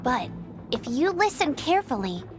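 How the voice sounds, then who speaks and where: Plucky young female knight scout for an anime-style game: very high, lively, earnest, music underneath. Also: moderate pace. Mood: brave, determined. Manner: spirited, earnest. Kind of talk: animated video game voice acting.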